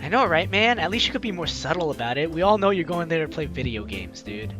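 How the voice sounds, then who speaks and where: High-voiced, animated man reading a game story. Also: quick pace, over music. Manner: animated, playful, dramatic reading. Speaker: let's play host. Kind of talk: reading a game story aloud with side commentary.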